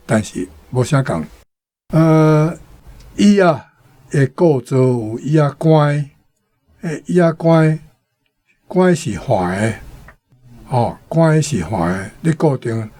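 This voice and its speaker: A man's voice: medium pitch, warm tone, dry recording. A man with a Taiwanese accent informally explaining vocabulary as a guest.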